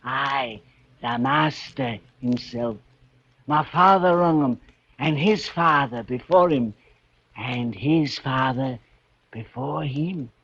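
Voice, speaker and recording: A man's voice: medium pitch, slow, a bit bright, breathy edge, full character voice. An actor playing an old bell-ringer in a 1940s radio drama, crooning fervently in folksy archaic dialect about bells he treats as his children. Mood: doting, proud. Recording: muffled vintage radio broadcast with music.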